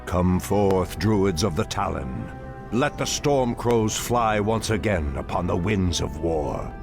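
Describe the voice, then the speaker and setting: An actor playing an ancient night elf archdruid in a fantasy game, with grave, formal, commanding speech. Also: male voice, expressive, takes it slowly, heavily acted. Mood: solemn, commanding. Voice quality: very deep, gravelly. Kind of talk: dramatic fantasy game acting. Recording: orchestral game music behind voice. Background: loud music.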